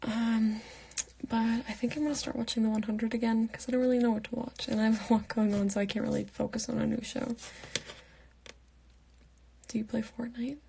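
A young woman in her late teens reading out fan comments and answering them in a soft, half-whispered voice, on a phone held at arm's length in her bedroom at night. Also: fairly high pitch, little pitch movement, fairly quick, vocal fry, long pauses, a little hiss or hum. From Pittsburgh, United States. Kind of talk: casual live Q&A chat.

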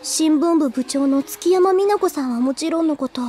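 A woman's voice: sits high, moderate pace, dark tone, a little hiss or hum, close to an everyday voice. An actress playing an earnest, easily flustered teenage schoolgirl in a Japanese anime audio drama. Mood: surprised, alarmed.

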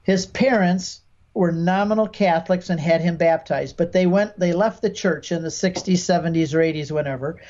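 A man's voice: sits high, a little mellow, dull audio. An American man in his sixties from Michigan, explaining as a podcast guest with stories and examples, emphatically acting out quoted speech. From Michigan, United States. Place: home room with bookshelves, video call.

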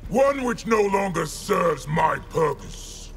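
A man playing a stern, ruthless warlord in a video game, with a gravelly voice and grave, formal delivery. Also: high voice, big pitch swings, takes it slowly, heavily acted. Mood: commanding, menacing. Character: stern, menacing male warlord, ruthless and commanding. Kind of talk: video game fight intro dialogue. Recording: prominent music behind.

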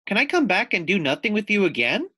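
Man voicing an eager little girl baboon, high-pitched. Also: big pitch swings, fast, bright, forceful tone, breathy edge, exaggerated voice. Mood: eager, curious. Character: little young female baboon, eager and curious.

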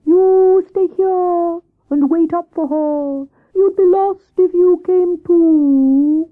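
A woman voicing a cool, bossy male owl in a children's story, with a drawn-out, commanding delivery. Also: very high-pitched, phone-quality sound, voice pushed up, exaggerated voice. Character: imperious, cool old-fashioned male owl, drawling and bossy.

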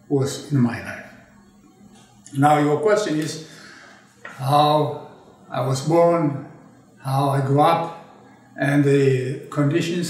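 An elderly man in his eighties, from Ethiopia, talks slowly and deliberately with a slightly raised pitch. He pauses often and restarts phrases as he reflects. The voice sounds distant in a very echoey room. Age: 80s. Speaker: interviewee recalling his childhood. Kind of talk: oral history interview. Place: indoor studio with a draped backdrop.

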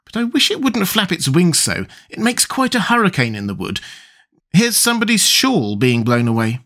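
A man's voice: sits high, sing-song, normal speed, a bit bright, pitched above the performer's own voice, full character voice. A man voicing a polite, puzzled, sensible young girl in a storybook, with a courteous, slightly timid manner. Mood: alarmed wonder. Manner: courteous, timid yet reasoning. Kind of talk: storybook character voice.

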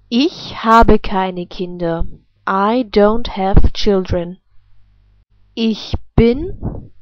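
A woman giving a scripted language lesson as a teacher, reading example phrases with careful, deliberate enunciation. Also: medium pitch, no echo, dull audio.

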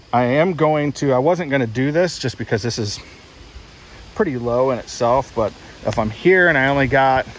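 A man's voice: mid-range voice, slight background noise. An American man in his thirties giving a casual video update to viewers, recorded outdoors on a handheld phone. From United States, Ohio. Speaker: outdoors vlogger. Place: outdoors in woods. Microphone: phone held at arm's length.

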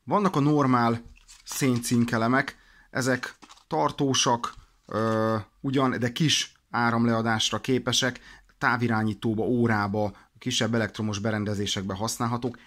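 A man's voice: medium pitch, steady tone, fairly bright tone. A man explaining products in an informal instructional way, practical and a little hesitant in his delivery. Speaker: product demonstrator for a radio shop.

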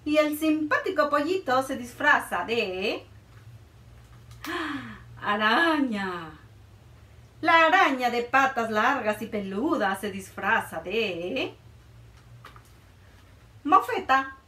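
A woman in her thirties reading a picture book aloud to children in a playful, expressive way, in a small room. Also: on the high side, slow pace, long pauses, echoey room, far from the mic. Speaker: children's librarian. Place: small room indoors, before a board.

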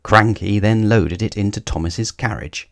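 A man's voice: fairly low pitch, normal speed, creaky. A man narrating a children's story aloud, expressively, with dramatic emphasis on action words.

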